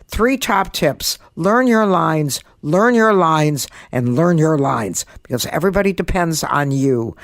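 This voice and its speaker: Elderly woman with a low-set, dry-recorded voice. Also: dry recording. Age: 80s. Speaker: guest podcast host, comedian and author. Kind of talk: podcast monologue with writing tips and story reading.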